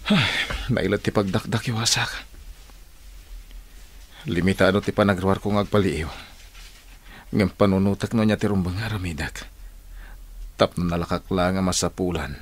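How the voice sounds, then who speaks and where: An actor with an Ilocano accent playing a resolute, watchful investigator thinking aloud in a radio drama, brooding and deliberate. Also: male voice, deep voice, breathy edge. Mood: brooding, determined. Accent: Northern Philippine (Ilocano). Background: faint music.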